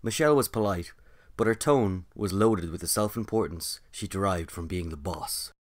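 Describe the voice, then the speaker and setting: A man with a deep, low-pitched voice narrates for a story podcast. His delivery is scripted storytelling, in the role of host and narrator. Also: moderate pace.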